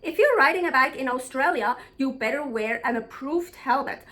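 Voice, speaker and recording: High-voiced woman, blunt vlogger. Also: lively intonation, moderate pace, sharp tone. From Switzerland. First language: Swiss German. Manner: blunt and frank, swears freely, thinks aloud. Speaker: travel vlogger. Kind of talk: casual vlog chat.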